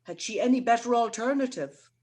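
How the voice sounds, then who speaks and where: A woman playing an indignant, sharp-tongued young woman in a theatrical, scornful dramatic reading of comic verse. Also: on the high side, lively intonation, brisk, close to an everyday voice. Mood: mocking. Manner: scornful, theatrical, emphatic delivery.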